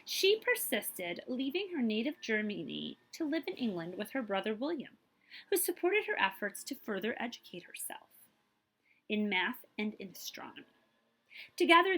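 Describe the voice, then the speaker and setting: A woman reads a picture book aloud to young listeners in a high-ish voice with a breathy, husky edge. Her delivery is emphatic and very melodic, stretching out important words and adding short asides of her own. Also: sharp tone, rough recording. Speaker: librarian reading aloud to children.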